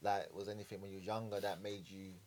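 A man hosting a podcast, asking relaxed, friendly questions with casual slang. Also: low voice, fast, a little hiss or hum. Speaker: podcast host.

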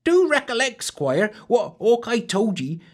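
A nervous young barmaid with a burr and rustic speech, as a man puts her on in a high falsetto. Her voice swoops up and down as she frets, and she speaks slowly and carefully. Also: very animated, heavily acted. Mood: fearful.

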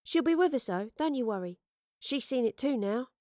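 A woman's voice: on the high side, expressive, moderate pace, mellow, no room sound, studio quality, voice pushed up, close to an everyday voice. Woman voicing a brash, cheeky young girl. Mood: breezy. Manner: colloquial, blunt, chirpy.

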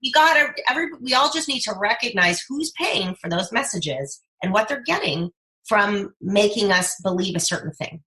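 A woman's voice: medium pitch, moderate pace, rough recording. Emphatic fifties woman speaker. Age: 50s. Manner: emphatic, persuasive, animated. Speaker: guest, speaker and brand coach. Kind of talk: podcast interview conversation.

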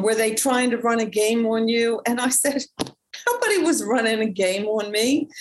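A woman's voice: a little high, poor audio. A woman speaking reflectively in a casual conversation, often restarting her sentences as she thinks. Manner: reflective, with frequent restarts and fillers.

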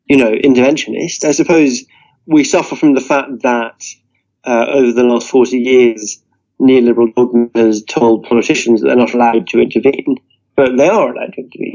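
Mellow-voiced man arguing his case. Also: mid-range voice, steady tone, normal speed. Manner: earnest, argumentative, leans on "you know". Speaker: interview guest. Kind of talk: political discussion. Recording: dull lo-fi audio.

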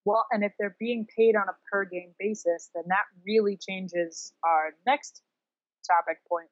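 A woman's voice: lowish voice, dry recording, muffled recording. A woman co-hosting a podcast, musing casually and a little wryly about sports. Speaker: podcast co-host.

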